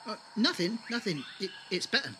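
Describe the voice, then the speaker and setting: A woman's voice: medium pitch, big pitch swings, brisk, background noise, close to an everyday voice. A woman playing a friendly, shy young settler in an audio drama, eager and easily flustered. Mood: hesitant.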